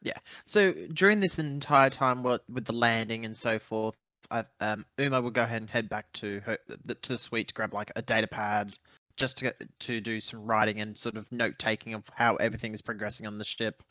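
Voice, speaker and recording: A man with a bright, mid-pitched voice takes part in a tabletop game. He describes his character in halting phrases, often repeating himself. The recording is close but slightly dull. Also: no echo. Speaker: player in a tabletop roleplaying game. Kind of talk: casual in-character play and description.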